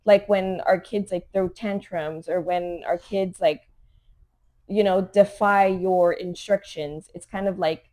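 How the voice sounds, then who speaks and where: A woman's voice: on the low side, steady tone, slow, a little mellow. A woman from Los Angeles, a mother of three, co-hosting a casual podcast and talking in an earnest, conversational way full of "like" and "you know". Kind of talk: casual chat.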